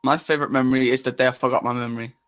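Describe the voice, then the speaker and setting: A man playing a muddled, grumbling studio worker with a deadpan delivery in a comedy interview. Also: mid-range voice, very fast, lightly acted.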